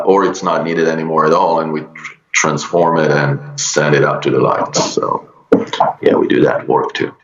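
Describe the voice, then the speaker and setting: Casting: middle-aged Israeli man, very low voice, slow and halting, echoey room on lo-fi audio. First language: Hebrew. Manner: halting, many fillers and restarts. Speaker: guest, energy healer. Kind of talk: podcast interview.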